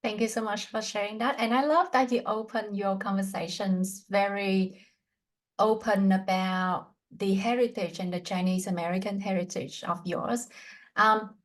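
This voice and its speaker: A woman's voice: medium pitch, poor audio. A woman hosting an interview podcast, introducing the show and putting questions to a guest. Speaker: podcast host.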